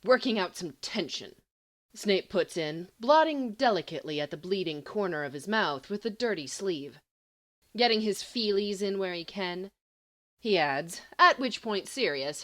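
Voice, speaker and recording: A woman reading scripted fiction aloud as an expressive, dramatic storyteller. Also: medium pitch. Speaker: audiobook reader.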